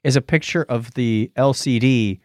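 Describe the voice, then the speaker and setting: A man's voice: medium pitch, normal speed, no echo, clean studio sound. A middle-aged man with an American accent hosting a podcast, telling anecdotes in a casual, chatty way. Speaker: podcast host.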